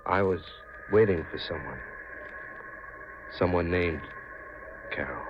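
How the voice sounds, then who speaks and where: An actor playing a tormented, obsessed widower with intense, urgent delivery in a 1950s radio mystery style. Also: male voice, low voice, takes it slowly, over music. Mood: startled, brooding. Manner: intense, urgent, stammering when agitated. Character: tormented, brooding widower obsessed with his dead wife. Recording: noisy, muffled old radio recording.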